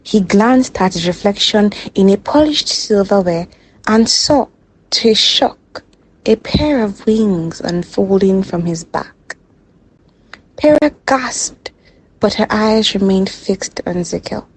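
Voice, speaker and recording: A woman storyteller reading a scripted folktale in a dramatic, measured way. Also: mid-range voice, expressive, breathy edge, low-quality recording.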